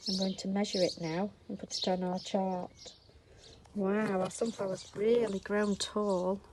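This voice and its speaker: A woman's voice: mid-range voice, level tone, unhurried, noisy background. A woman speaking to young children as a teacher and story reader, explaining simply, with questions and emphasis. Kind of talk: speaking to young children, reading a picture book.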